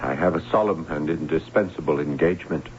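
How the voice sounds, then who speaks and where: A man's voice: extremely low pitch, brisk, a little mellow, slight background noise. An actor playing a sorrowful, guilt-torn young nobleman, narrating solemnly in formal, literary words in an old radio drama. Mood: grave, dramatic. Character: sorrowful young nobleman, guilt-torn impostor recounting his doomed love. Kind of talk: old-time radio drama acting, first-person narration. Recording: dull vintage radio recording, music behind.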